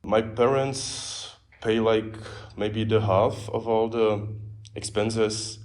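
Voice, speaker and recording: A young man from the Czech Republic answers interview questions in an easy, casual way. His voice is fairly low and flat, and he keeps pausing on fillers and repeating words as he thinks. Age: 20s. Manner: hesitant, many fillers and repeats. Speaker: exchange student.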